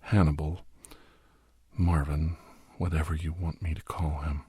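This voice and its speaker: A man playing a captive doctor who grimly recounts his escape, half-whispered in a breathy ASMR horror narration with halting, deliberate phrases. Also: very deep voice, flat delivery, mellow, no room sound, full character voice. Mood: grim, tense. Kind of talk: first-person scripted horror narration, ASMR.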